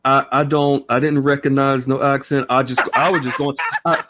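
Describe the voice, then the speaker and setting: A man hosting a casual call-in chat, animated and laughing often, with frequent stammering restarts. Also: medium pitch, normal speed, noisy background. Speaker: host.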